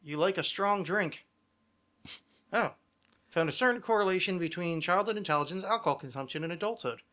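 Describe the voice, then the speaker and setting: A man's voice: sits high, lively intonation, a little fast, pushed and bright. A man hosting a podcast with casual comedic banter, rambling and sardonic, swearing freely. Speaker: podcast host.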